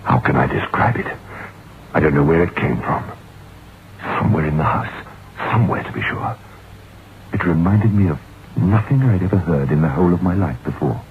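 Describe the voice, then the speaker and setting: An actor playing a troubled, curious writer who recounts his dread in a deliberate, suspenseful old-time radio horror monologue. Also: extremely low pitch, lively intonation. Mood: uneasy. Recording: muffled, noisy vintage radio recording.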